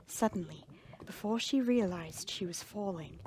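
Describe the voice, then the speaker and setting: A woman's voice: lowish voice, measured pace, noisy background. A woman reading a dramatic scripted fantasy story as the narrator. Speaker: fan fiction narrator. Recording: music under the voice. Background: background music.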